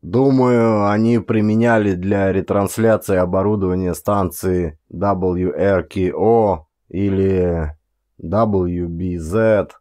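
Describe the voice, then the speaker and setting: Middle aged guy with a lowish voice reading a story out loud like an audiobook, dramatic delivery. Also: steady tone, takes it slowly. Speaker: audiobook narrator, actor. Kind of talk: scripted narration of a short story, dramatic reading.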